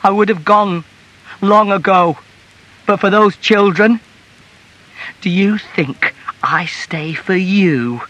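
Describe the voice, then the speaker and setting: A man's voice: sits high, slow, pauses often, pushed and bright, highs cut off, voice pushed up, heavily acted. A man voicing an angry, reproachful working-class wife in a storybook reading, scolding with raised, emphatic delivery. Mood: furious, despairing.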